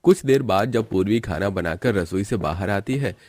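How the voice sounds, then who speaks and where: Male narrator, low-pitched, delivering scripted storytelling for an animated family tale. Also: moderate pace, slightly rough, no room sound, studio quality.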